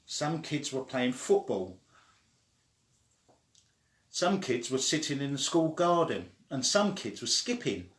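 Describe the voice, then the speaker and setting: A man reading a children's story aloud in an expressive way, sometimes stumbling and starting again. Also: mid-range voice, takes it slowly, pauses often. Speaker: story reader. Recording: echoey room.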